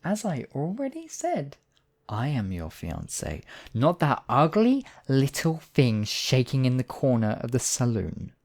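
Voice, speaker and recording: A man's voice: medium pitch, huge pitch swings, a little slow, darkish tone, exaggerated voice. A man playing a smug, obsessive demon king in a theatrical audio roleplay, silky and possessive in his wooing. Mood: irritated, threatening. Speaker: voice actor. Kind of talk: theatrical roleplay monologue, ASMR audio drama.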